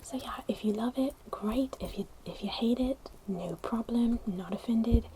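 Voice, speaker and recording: A young woman with a put-on posh British accent, whispering a gentle, rambling ASMR chat into a close microphone. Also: fairly high pitch, a little slow, noisy background. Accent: put-on posh British English. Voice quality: whispered, hushed. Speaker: ASMR creator. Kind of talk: soft-spoken ASMR chat. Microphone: close sensitive ASMR microphone.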